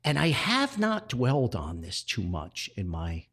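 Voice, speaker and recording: Older man with very animated pitch swings. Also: slightly rough. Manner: halting, repeats words and backtracks. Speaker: podcast host and author. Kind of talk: rambling personal reflective podcast monologue.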